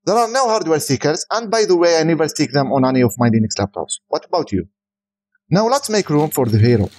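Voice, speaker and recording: Male tech reviewer, native Arabic speaker, higher-pitched voice with lively intonation, scripted product voice-over. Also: a little fast, poor audio.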